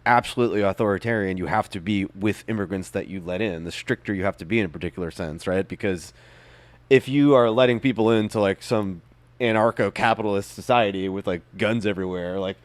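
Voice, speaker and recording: A man's voice: lowish voice, fairly quick, no echo. A man co-hosting a podcast in loose casual chat, arguing his points with lots of fillers. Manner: loose, filler-heavy, argumentative. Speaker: podcast co-host.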